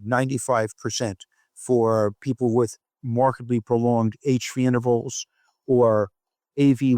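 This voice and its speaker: A man speaking as a physician researcher in an interview, explaining study findings in a measured, deliberate way with halting phrasing. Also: medium pitch, darkish tone, no echo, clean studio sound.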